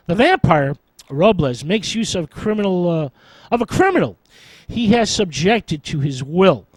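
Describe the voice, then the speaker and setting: Male radio host, somewhat high voice, scholarly and formal film commentary, halting delivery, very dry recording. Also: no echo, low-quality recording. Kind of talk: scripted film history commentary.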